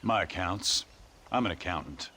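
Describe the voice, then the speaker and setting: An actor playing a calm, enigmatic stranger in a Western video game, measured and unruffled. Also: male voice, sits low, slight background noise. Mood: serenely cryptic. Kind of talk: cinematic video game acting.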